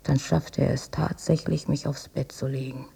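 Radio drama: ailing older mother, mellow but badly frayed breathy voice, weak, long-suffering. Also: rumbling low, steady tone, moderate pace, full character voice. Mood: pained, recounting. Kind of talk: intimate radio drama monologue and dialogue. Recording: dull cassette copy of radio play.

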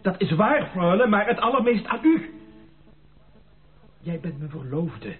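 An actor playing a proud, stubborn gentleman suitor in a Dutch radio play, speaking formally and with dramatic emphasis. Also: sits high, very animated, measured pace, faint music behind. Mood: insistent. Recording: thin narrow-band radio play recording.

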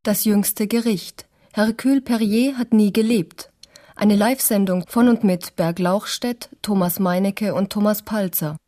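A woman's voice: mid-range voice, fairly even intonation, unhurried, dark tone. A woman announcer reading a scripted introduction to a radio programme.

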